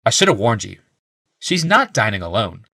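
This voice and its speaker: A man's voice: lowish voice, big pitch swings, normal speed, creaky, sharp tone, no echo, clean studio sound, heavily acted. A man voicing a young male healer in an audiobook, matter-of-fact, dutiful and faintly smug. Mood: dryly dutiful.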